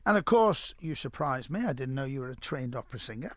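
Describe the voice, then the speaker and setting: A man's voice: mid-range voice, animated, quick pace, fairly bright tone, no echo, clean studio sound. A middle-aged man hosting a radio interview, chatty and rambling, often repeating his words. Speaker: radio presenter.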